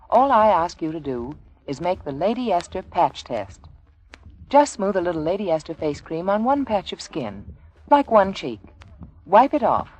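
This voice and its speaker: A woman's voice: medium pitch, a little mellow, rough recording. A woman reading a scripted 1940s radio advertisement in an emphatic, persuasive manner. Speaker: sponsor's advertising announcer.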